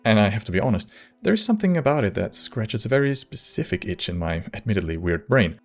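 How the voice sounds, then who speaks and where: A man reading scripted video essay narration in a measured, wry manner. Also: fairly low pitch, sing-song.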